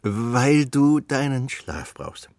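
A man playing a kindly, tired old woodcarver father in a children's radio play, explaining things hesitantly and being gently firm. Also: mid-range voice, big pitch swings, extremely slow, dry recording.

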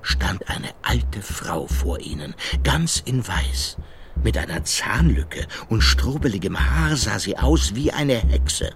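A man's voice: on the low side, big pitch swings, takes it slowly, heavily acted. A man narrating a children's radio play as a scripted story.

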